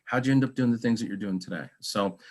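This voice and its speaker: An American man with a fairly low voice works as an interviewer. He talks casually and wanders through his questions with a jokey, informal touch. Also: fast talker, darkish tone. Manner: rambling, jokey. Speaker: talk show host, interviewer. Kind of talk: casual joking interview chat. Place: remote video call.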